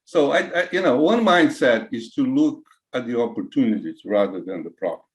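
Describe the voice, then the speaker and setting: A Brazilian man in his sixties with a Brazilian Portuguese accent, a retired IT executive answering interview questions in a halting way, full of fillers and restarts. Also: medium pitch, lively intonation. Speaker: guest, retired CIO.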